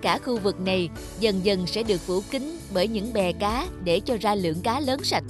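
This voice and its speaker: A man's voice: high-pitched, animated, normal speed, music in the background. A man playing a determined, optimistic young man in a cartoon, speaking earnestly and confidently. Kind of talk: cartoon dubbing.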